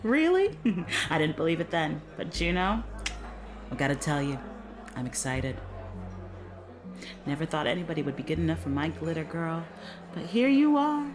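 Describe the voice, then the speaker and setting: A woman playing a wry, domineering middle-aged police captain and mother in an audio drama, brisk and folksy in her persuasion. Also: deep voice, sing-song. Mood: wry, motherly. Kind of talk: audio drama acting, natural dialogue. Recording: music playing behind the voice.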